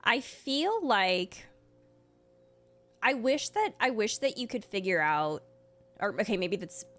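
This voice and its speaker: A young woman giving casual reaction commentary as a game streamer, chatty and halting, peppering her talk with "like". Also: medium pitch, sing-song, a bit bright. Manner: chatty, halting, filler-heavy with "like".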